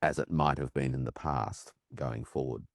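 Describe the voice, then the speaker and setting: A man speaking as an interview guest, thoughtful and reflective, pausing with "um" as he works through his analysis. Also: very deep voice, creaky, dry recording, studio quality.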